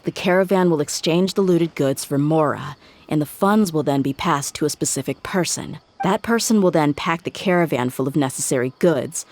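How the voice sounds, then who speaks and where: A woman's voice: sits low, a little mellow. A young woman playing a tough, blunt, self-assured mercenary in a video game. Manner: assertive, no-nonsense. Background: game music.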